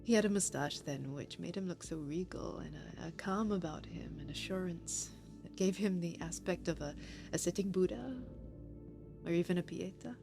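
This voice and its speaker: A woman playing a grieving, heartbroken lover in a poetic audio-drama monologue, measured and reflective, with breathy pauses. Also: fairly low pitch, over music. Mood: tender, wistful.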